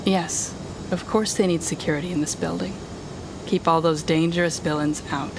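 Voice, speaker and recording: A woman playing a smug, controlling telepathic villain in an audio roleplay, taunting the listener in a deliberate, condescending manner. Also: lowish voice, fairly even intonation, background noise, natural voice. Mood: cold, persuasive.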